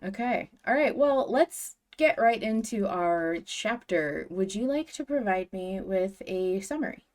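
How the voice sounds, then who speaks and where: A woman's voice: mid-range voice, lively intonation, measured pace. A woman hosting a podcast in casual chat, with an enthusiastic, emphatic way of talking. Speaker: podcast host.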